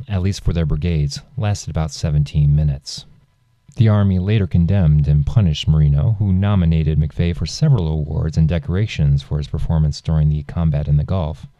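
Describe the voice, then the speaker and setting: Deep, dark-voiced male audiobook narrator. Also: no room sound. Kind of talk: scripted nonfiction narration.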